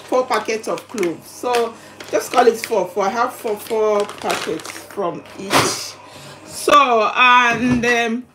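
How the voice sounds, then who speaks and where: Slow, higher-voiced woman vlogger, halting delivery. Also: noisy background. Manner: halting, repetitive, explanatory. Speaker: vlogger presenting product unboxing. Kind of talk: casual unboxing vlog chat.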